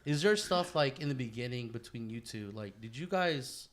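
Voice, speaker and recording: A man's voice: mid-range voice, lively intonation, moderate pace, a crowd in the background. A man co-hosting a podcast, talking casually with slang and swearing. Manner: informal, bro-heavy slangy talk. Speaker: podcast co-host.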